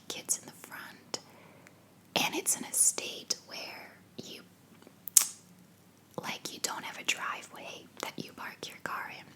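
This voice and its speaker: A young woman from Belgium with an American accent, whispering answers to viewer questions in a rambling ASMR Q&A at home on a sensitive microphone. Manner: soft unvoiced whisper, rambling with fillers. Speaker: ASMR creator. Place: home living room.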